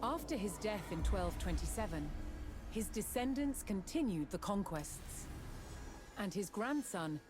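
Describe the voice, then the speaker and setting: A woman reading scripted documentary narration in a measured, storytelling manner. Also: mid-range voice, expressive. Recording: loud music under voice. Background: loud music.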